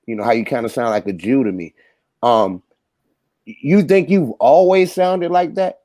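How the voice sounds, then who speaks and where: A man's voice: medium pitch, moderate pace, warm tone, dry recording, clean studio sound. A man in a heated group debate, lecturing and asking probing, leading questions. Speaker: debate participant.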